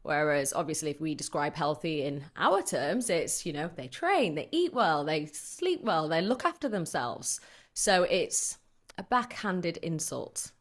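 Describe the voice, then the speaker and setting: Frank low-voiced woman in her forties. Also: a little slow. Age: 40s. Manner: frank, lively storyteller who laughs easily. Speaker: fitness coach. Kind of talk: casual interview conversation.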